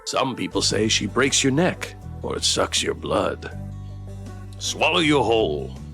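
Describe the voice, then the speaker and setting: A man voicing a blunt, selfish traveler in a dark fantasy game, talking in an ominous manner. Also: fairly low pitch, very animated, measured pace, gravelly, soft background music, heavily acted. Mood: ominous gossip.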